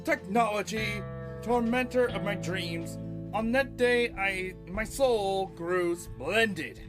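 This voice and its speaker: A man hosting an audio play podcast, reading his own writing theatrically with a halting, dramatic delivery. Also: high voice, music in the background.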